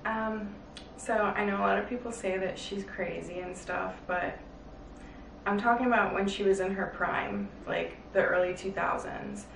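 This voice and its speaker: A relaxed young woman from Florida with a gravelly, fry-tinged voice, reading questions and answering at an easy pace. The room is echoey, the mic set back with a faint hiss. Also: mid-range voice, normal speed, far from the mic. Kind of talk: casual family Q&A. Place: indoor bedroom, on a couch.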